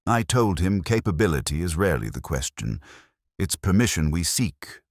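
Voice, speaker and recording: A man narrating a detective mystery in the first person as an ageing, contemplative detective, in a measured, literary, reflective manner. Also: low voice, sing-song, no room sound, studio quality. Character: ageing male detective, melancholy, contemplative, world-weary.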